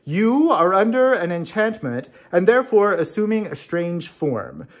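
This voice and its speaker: A man's voice: high-pitched, sing-song, unhurried, voice pushed up, full character voice. A man voicing a mysterious unseen man in a storybook, emphatic and commanding in manner. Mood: solemn pronouncement.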